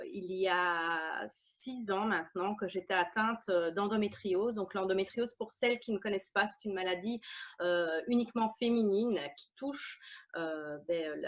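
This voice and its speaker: A woman giving a personal account as an interview guest, speaking haltingly and searching for her words. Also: mid-range voice, dull audio. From Belgium. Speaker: interview guest, women's energy healer and coach. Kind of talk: personal testimony in an informal interview.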